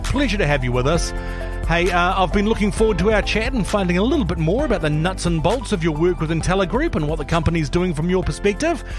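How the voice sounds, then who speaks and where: Upbeat New host, bright high voice, music underneath. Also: male voice, expressive, quick pace. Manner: upbeat presenter delivery. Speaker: podcast host. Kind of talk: scripted podcast intro and interviewing.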